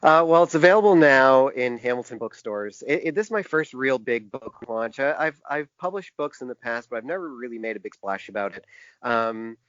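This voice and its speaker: A man with a mid-range voice speaks as a guest in a casual interview. He is thoughtful and halting, working through his explanations in detail. The sound is dry and somewhat dull, like lo-fi podcast audio. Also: medium pitch, expressive, fairly bright tone, no room sound. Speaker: podcast guest, author and indie publisher.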